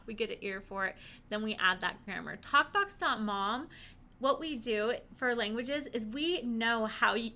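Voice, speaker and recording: A woman giving an upbeat, chatty lesson to parents, encouraging and animated, with frequent rhetorical questions. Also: a little high. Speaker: presenter teaching parents. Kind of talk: upbeat conversational instructional talk to camera.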